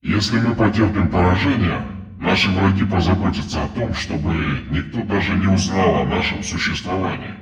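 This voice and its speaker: A mysterious hacker played by a male narrator speaks through a synthetic mask. The voice is deep and wrapped in echo, with calm, measured, terse delivery suited to an audiobook thriller. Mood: grave, composed.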